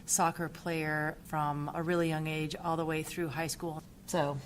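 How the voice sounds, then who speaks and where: Low-voiced woman in an interview. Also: little pitch movement, measured pace, heavy background noise. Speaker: school staff member and soccer team organizer. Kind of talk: informal interview account.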